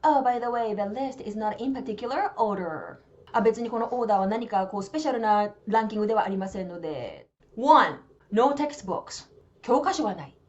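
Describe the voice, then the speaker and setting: A chatty woman in her thirties speaks with a Japanese accent. Her fairly high voice leaps all over, quick and animated. The sound is a little dull and lo-fi. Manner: chatty, animated, switches between languages, drawn-out explaining. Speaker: vlog host. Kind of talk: casual bilingual vlog talk.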